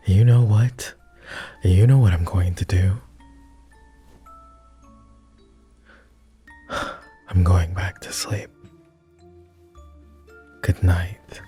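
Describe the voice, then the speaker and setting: A man playing a lonely, gentle vampire who speaks formally and haltingly in an intimate ASMR roleplay. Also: sits low, husky, full character voice. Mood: flustered, shy. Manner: formal, old-fashioned wording with halting, hushed delivery. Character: clingy lonely male vampire, courtly, gentle, easily flustered.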